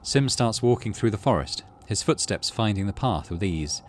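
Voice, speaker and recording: A man's voice: fairly low pitch, animated, moderate pace, slight background noise. A man, middle-aged, doing playthrough commentary and reading game text aloud expressively. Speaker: gaming channel host.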